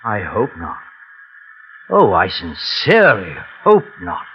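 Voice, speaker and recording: Old captor type: wheedling, stammering, lively pitch, muffled old-radio sound. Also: male voice, slow pace, over loud music, full character voice. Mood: insistent. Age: older. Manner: evasive, wheedling, hesitant stammer. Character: lonely old jungle man who keeps a reader captive. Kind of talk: 1940s radio acting. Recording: dull old radio broadcast.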